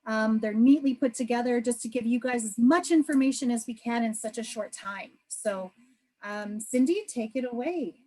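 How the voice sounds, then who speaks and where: A woman's voice: fairly high pitch, normal speed, mellow, rough recording. Upbeat woman travel agent on a call. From Canada. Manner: upbeat, chatty, many fillers. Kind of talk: video call presentation. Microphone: video call.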